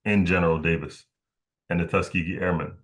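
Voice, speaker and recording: A man hosting a casual podcast interview, talking in a halting, meandering way and often repeating words. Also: deep voice, fairly even intonation, a bit bright.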